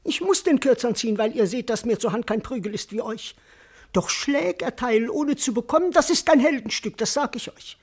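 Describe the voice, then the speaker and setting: A man's voice: extremely high pitch, expressive, fairly quick, slightly rough, exaggerated voice. A man acting a cowardly, indignant, wily servant in a classical verse radio comedy, with theatrical, plaintive declamation.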